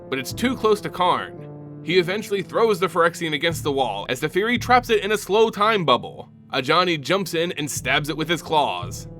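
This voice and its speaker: A man's voice: on the high side, bright, forceful tone. A man hosting his own channel, narrating a fantasy lore story dramatically and speaking casually to his viewers. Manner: addresses viewers casually as "guys". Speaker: YouTube channel host.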